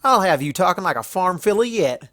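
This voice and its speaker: A man's voice: high-pitched, sing-song, a little fast, a bit bright, voice pushed up. Man voicing a stubborn, folksy farm mare. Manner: folksy country drawl phrasing, blunt. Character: stubborn plain-spoken young female farm pony. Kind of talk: storybook fan fiction character voice.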